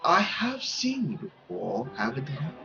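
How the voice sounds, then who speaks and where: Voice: medium pitch, huge pitch swings, creaky, pushed and bright, exaggerated voice. Suave French marquis played by a story reader, courtly, condescending, theatrical, widely swinging intonation, lo-fi sound. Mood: gentle, charming. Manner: theatrical, flowery, unhurried speech. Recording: dull lo-fi audio with faint music.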